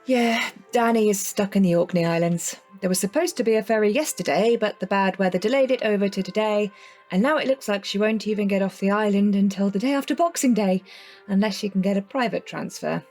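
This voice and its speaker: Woman playing polite self-effacing neighbour, hesitant, average pitch, light music underneath, audio drama. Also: brisk, darkish tone, lightly acted. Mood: glum. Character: awkward, apologetic, downcast female neighbour. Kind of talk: naturalistic audio drama acting.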